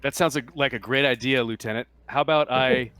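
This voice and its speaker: A man's voice: mid-range voice, fairly even intonation, fairly quick, bright, forceful tone, lightly acted. A man acts out a military captain in a tabletop roleplaying game. He is evasive and put-upon, a reluctant officer speaking in an everyday way. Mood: dodging, agreeable.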